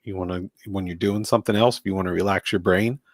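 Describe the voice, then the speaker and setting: A man's voice: low-pitched, fairly even intonation, quick pace. A man hosting a casual podcast chat from New Jersey, joking and rambling with lots of fillers. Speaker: host.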